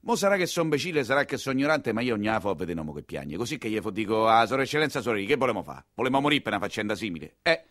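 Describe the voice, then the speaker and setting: A man's voice: fairly low pitch, sing-song, racing, few pauses, a bit bright, dry recording, studio quality. An actor playing an earthy Roman coachman, telling his story in a colloquial, wry theatrical monologue with a Roman accent. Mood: wry, consoling. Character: earthy Roman coachman narrator, plain-spoken, compassionate working man.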